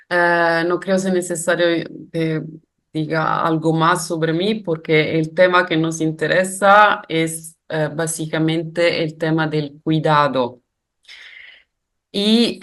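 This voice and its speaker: Slow, reflective Italian woman lecturing. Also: on the low side, a bit bright. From Italy. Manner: slow, careful, reflective, with hesitations. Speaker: guest lecturer. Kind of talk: webinar lecture.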